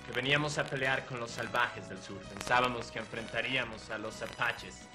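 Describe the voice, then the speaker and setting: A man playing a proud Irish deserter captain, recounting his past in a measured, earnest way. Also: mid-range voice, steady tone, lightly acted. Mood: solemn. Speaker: guest in character. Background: music underneath.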